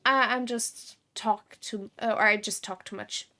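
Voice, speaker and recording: Slow-paced, chatty woman streaming a game. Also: a little high, fairly even intonation, pushed and bright. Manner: chatty, thinks aloud in halting bursts. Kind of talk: casual gameplay commentary.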